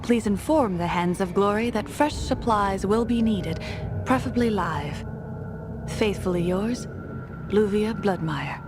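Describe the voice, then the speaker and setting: A woman's voice: medium pitch, unhurried, music in the background, natural voice. Haughty, sinister female mad scientist, played by an actress. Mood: composed, sinister. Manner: theatrical, menacing, condescending. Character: haughty, sadistic female mad scientist villain who creates monsters. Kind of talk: dramatic video game villain acting.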